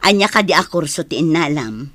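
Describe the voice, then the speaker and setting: A woman's voice: low-pitched, animated, a little fast, a bit bright, no echo. A woman playing a kindly, encouraging older acquaintance at a university in an Ilocano radio drama, with an Ilocano accent. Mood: kindly, impressed. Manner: kindly, questioning. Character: encouraging, impressed female university teacher or official.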